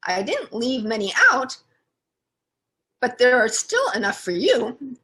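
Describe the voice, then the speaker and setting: An innocent little girl from a storybook, played by a woman reader. She is earnest, friendly and takes everything literally, speaking matter-of-factly with an animated, swinging pitch. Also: slow, heavily acted.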